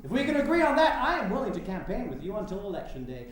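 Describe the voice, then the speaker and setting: A man's voice: high voice, wildly swooping pitch, fast. A man playing a rich, pompous political showman who woos and interrupts in grand oratory, in a staged play reading. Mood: grandiose, flattering. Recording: very echoey hall, faint background noise.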